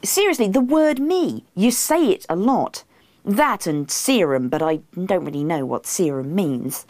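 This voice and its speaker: A woman's voice: fairly low pitch, expressive, a little slow, a little mellow, no echo. Woman putting on a reluctant, exasperated sleepy husband. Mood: disbelieving. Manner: grunting, despairing, reluctant replies.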